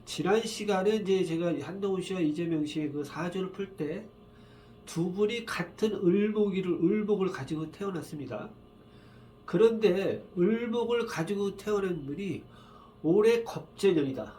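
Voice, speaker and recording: A man with a slightly high voice, worn and rough from a cold, talks casually on his own. He sounds tired and unhurried, wandering between thoughts and filling pauses as he explains a fortune reading. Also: mellow, slight background noise. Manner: rambling, halting, many fillers. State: tired, just woken up. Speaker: saju fortune reader. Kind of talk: casual solo video monologue.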